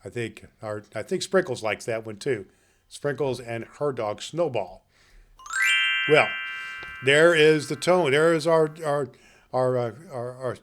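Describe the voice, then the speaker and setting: A man playing a jolly, grandfatherly old Santa Claus, hosting a podcast for children in a folksy, halting manner. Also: mid-range voice, a bit bright, close to an everyday voice. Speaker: podcast host. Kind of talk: story reading and chat to children.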